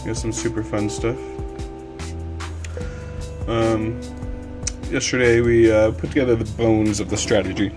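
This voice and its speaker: Hoarse man, loose rambling stream talk. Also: lowish voice, unhurried, pauses often, music in the background. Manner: relaxed, rambling with many fillers. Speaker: livestream coding instructor. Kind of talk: casual live-stream tutorial.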